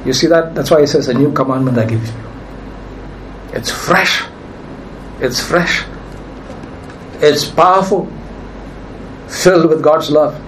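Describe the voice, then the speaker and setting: High-voiced emphatic pastor. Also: male voice, a little slow, long pauses, fairly bright tone, slight background noise, poor audio. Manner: emphatic preaching, repeats key phrases. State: nearly lost his voice. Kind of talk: sermon.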